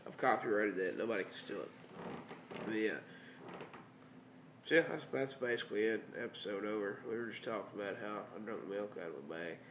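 A man's voice: lowish voice, fairly quick, noisy background. A congested man hosting a casual podcast with bandmates in a house, joking and laughing as he rambles. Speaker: podcast host. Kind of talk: casual chat. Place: band house room. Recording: echoey room with faint ambience.

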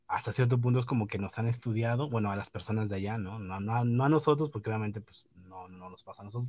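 Low-voiced hesitant man. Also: a little mellow, dry recording. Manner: hesitant, many fillers and restarts, laughs at own remarks. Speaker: podcast host. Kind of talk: casual news chat.

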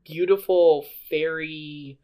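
Slow-talking, giggly podcast co-host. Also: medium pitch, fairly even intonation, warm tone. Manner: laughs often, drawn-out enthusiastic delivery. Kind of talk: casual chat.

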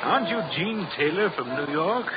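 A man's voice: high-pitched, expressive, normal speed, loud music behind the voice. Suave, sly male seducer, muffled sound. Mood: smooth, friendly. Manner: suave, insinuating, chuckling. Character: smooth-talking, sly male seducer abroad in Paris. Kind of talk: old-time radio drama acting. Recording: muffled, noisy old radio recording.

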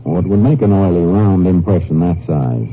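An actor playing a brisk, observant Texas Ranger investigator, terse and authoritative, in 1950s radio drama style. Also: male voice, deep voice, expressive, husky. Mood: brisk, probing. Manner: terse, matter-of-fact, authoritative. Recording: muffled, noisy old radio recording.

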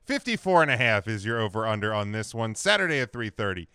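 Bright, clear-voiced man hosting sports chat. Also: on the low side, very animated, fast talker, dry recording, clean studio sound. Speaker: host of a sports picks show.

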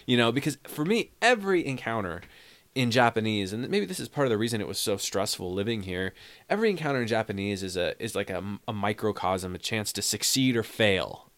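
A middle-aged podcast host in a loose chat over drinks, with a somewhat low voice. He thinks aloud, restarts his sentences and laughs at himself often. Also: animated, brisk, fairly bright tone. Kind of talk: casual tipsy chat.

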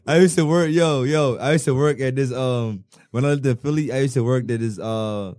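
A man's voice: mid-range voice, moderate pace, darkish tone. A young man with a Bahamian accent, a guest musician in casual podcast chat, slangy and full of "bro" and "you know what I'm saying".

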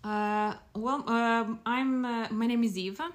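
A woman's voice: fairly high pitch, steady tone, slow. A woman in her thirties from Croatia, a podcast guest speaking in a casual, hesitant way with many fillers and restarts. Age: 30s. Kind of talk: casual interview chat.

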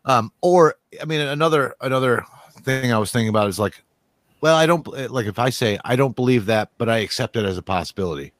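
A man in casual conversation, rambling with many restarts and a steady stream of "like". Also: mid-range voice, a little fast, no room sound, clean studio sound. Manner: rambling, restarts often, says "like" a lot.

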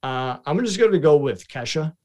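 A man's voice: a little high, expressive, moderate pace, warm tone, lo-fi sound. A young man with a heavy Boston accent hosting a podcast, talking casually and saying "super" and "like" a lot. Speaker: podcast host. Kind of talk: casual chat.

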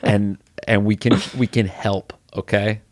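A man co-hosting a podcast in a casual, comedic chat, trading wry, deadpan comebacks. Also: lowish voice, a little slow, no echo. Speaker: podcast co-host.

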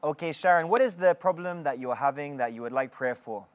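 A woman hosting a televised prayer session, preaching with measured emphasis and interviewing callers. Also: low voice, expressive, no room sound. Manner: measured, emphatic, slow sermon-like delivery. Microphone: studio microphone.

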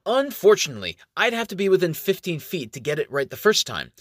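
A man's voice: very animated, fast talker, no room sound, studio quality. A man voicing a skinny, boastful male elf magician in a raised, theatrical voice. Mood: eager. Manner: high, eager, theatrical.

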